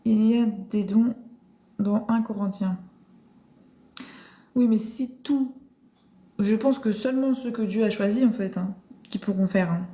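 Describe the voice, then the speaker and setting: Woman host, live-stream Bible chat, mellow tone, halting and searching, echoey room. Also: medium pitch. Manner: thinks aloud with many restarts and fillers. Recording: roomy echo.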